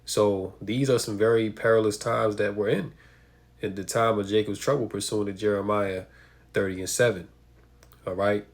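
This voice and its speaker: A man's voice: on the low side, steady tone, normal speed. A man with a stuffy nose from a cold, giving emphatic preaching commentary and asking rhetorical questions. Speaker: religious commentator.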